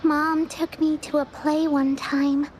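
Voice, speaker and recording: A woman's voice: high-pitched, level tone, takes it slowly, darkish tone, a little hiss or hum. A woman voicing a timid young girl who stammers, in a video game. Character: shy young girl with a secret map. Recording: game audio with faint music.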